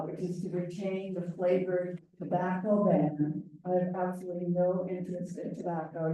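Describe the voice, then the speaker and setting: Loud older woman in echoey hall. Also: lowish voice, a little hiss or hum. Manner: chatty, self-deprecating, wandering asides. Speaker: volunteer presenter on ballot issues. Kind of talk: informal public briefing. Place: large meeting room. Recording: very echoey room, voice from far off.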